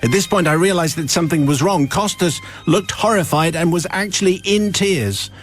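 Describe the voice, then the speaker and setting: A man's voice: high voice, normal speed, faint music behind. A man hosting a radio show, reading out a listener's letter and often laughing as he goes. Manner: reads aloud, often breaks into laughter. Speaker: radio host. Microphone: studio microphone.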